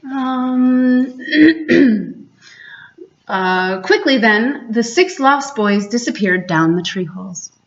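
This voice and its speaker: A woman storyteller reading a children's bedtime story aloud in a measured, expressive way with dramatic emphasis. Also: fairly high pitch, lo-fi sound. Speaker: library storyteller, host.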